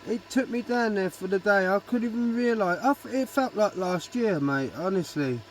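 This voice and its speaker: A man's voice: high voice, lively intonation, moderate pace. A British man chatting casually over CB radio. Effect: CB radio transmission. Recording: noisy CB radio reception.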